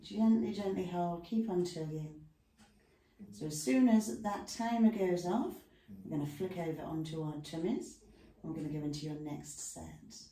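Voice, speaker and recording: Mellow-voiced woman coaching stretches. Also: lowish voice, moderate pace. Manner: chatty, talks through the stretches with asides. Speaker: stretching class instructor. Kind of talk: guided exercise instruction. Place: room at home with a dog nearby. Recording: echoey room, mic far off.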